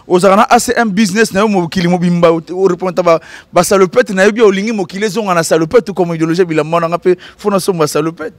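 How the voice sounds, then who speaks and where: Quick, high-voiced Congolese male host. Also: dry recording. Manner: animated, laughing, breaks into sung bits. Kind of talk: casual interview, mixing French and Lingala. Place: outdoor courtyard. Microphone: handheld mic.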